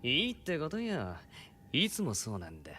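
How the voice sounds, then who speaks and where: An actor voicing a cocky male creature guide in an anime voice drama, speaking in a rough, harsh dialect. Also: medium pitch, wildly swooping pitch, quick pace, sharp tone, a little hiss or hum. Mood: breezy.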